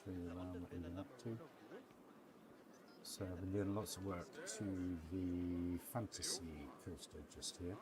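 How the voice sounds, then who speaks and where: A man's voice: low-pitched, fairly even intonation, measured pace, a loud crowd. A man giving a casual, unscripted game walkthrough as a streamer, hesitant and often trailing off. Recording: loud background noise behind voice.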